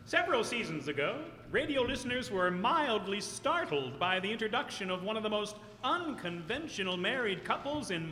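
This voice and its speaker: Formal radio announcer, male, animated and high-pitched, hall reverb. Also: a little hiss or hum. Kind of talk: old-time radio announcer reading.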